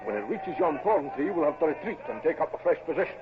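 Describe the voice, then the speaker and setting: An actor playing a dry, unflappable Scottish major of Marines in an old radio drama. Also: male voice, on the high side, sing-song, quick pace. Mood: dry, calm. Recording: tinny, noisy vintage radio recording.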